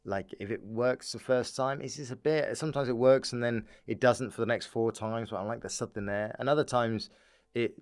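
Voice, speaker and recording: A man's voice: mid-range voice, fairly even intonation, brisk, clear, resonant tone, dry recording. A man talking as a comedian guest in a casual chat, rambling and often repeating his words.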